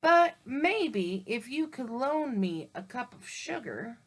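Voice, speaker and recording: Woman reading a self-pitying wolf who claims he was framed, a touch high-voiced. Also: very animated, takes it slowly, full character voice. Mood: indignant. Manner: indignant, mock-innocent storytelling. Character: wolf, male, grumbling sly self-pitying narrator.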